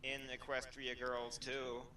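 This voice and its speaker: A man's voice: mid-range voice, monotone, slow, sharp tone, slight background noise. A man co-hosting a fan fiction reading show, trading casual sarcastic banter in a blunt, mocking manner.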